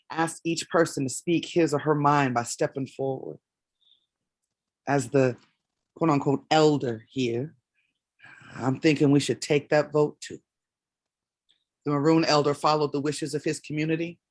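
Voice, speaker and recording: A woman's voice: deep voice, fairly even intonation, a little slow, long pauses, darkish tone, no room sound. Woman acting an earnest, proud mother, close dry sound. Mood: solemn, earnest. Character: embattled middle-aged mother, theater elder, wounded and proud. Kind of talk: staged reading of a play.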